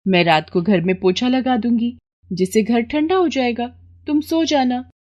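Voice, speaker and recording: A woman voicing a gentle, worried wife of a poor labourer in a cartoon moral story. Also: on the high side, unhurried, highs cut off, pitched above the performer's own voice, lightly acted. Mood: soothing. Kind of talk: animated moral story voice acting.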